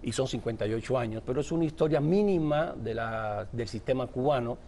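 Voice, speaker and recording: Mellow-voiced man, reflective storyteller. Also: mid-range voice, a little fast. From Cuba. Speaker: author and journalist guest. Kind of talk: interview answers.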